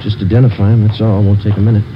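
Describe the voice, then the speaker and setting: A very deep-voiced male detective acted for radio, stoic and insistent. He talks in terse, matter-of-fact lines, as in a 1950s crime drama. The recording is muffled and clearly noisy. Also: level tone, very fast, close to an everyday voice. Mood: brisk, insistent.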